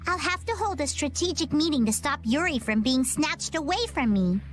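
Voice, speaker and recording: High, playful scheming girl. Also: animated, normal speed. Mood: curious. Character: quirky, confident young pirate girl, playful and scheming. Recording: music under the voice.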